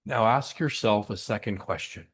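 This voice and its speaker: A man guiding a breathing meditation in a gentle, unhurried way, leaving long pauses between short instructions. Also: fairly low pitch, husky. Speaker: meditation guide.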